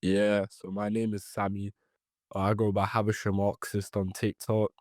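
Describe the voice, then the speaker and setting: A man's voice: fairly low pitch, monotone, normal speed, no room sound, clean studio sound. A young man from Ethiopia and Eritrea, a podcast guest explaining history in casual chat, with many ums and restarts.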